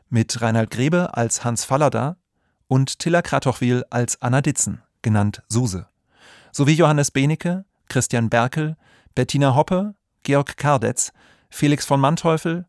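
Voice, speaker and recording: A man reading scripted radio drama credits as announcer, measured and matter-of-fact. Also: mid-range voice, a little mellow, dry recording, clean studio sound.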